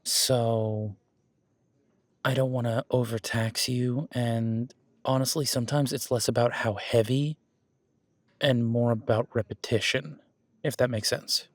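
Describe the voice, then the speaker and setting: A man's voice: mid-range voice, steady tone, measured pace, no echo, lightly acted. A man playing a friendly, patient werewolf boyfriend who coaches a workout in a casual, instructive audio roleplay. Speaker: gym training coach in roleplay.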